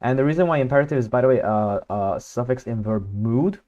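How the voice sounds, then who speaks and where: A man's voice: mid-range voice, fairly quick, dark tone, highs cut off. A young man whose first language is Hungarian, chatting casually on a livestream and thinking aloud, hesitant with many fillers and restarts. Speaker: streamer.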